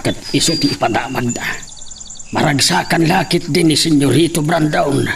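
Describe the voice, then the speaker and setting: A man's voice: fairly high pitch, normal speed, gravelly, background noise. A man with an Ilocano accent playing a scheming henchman in a radio drama. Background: music.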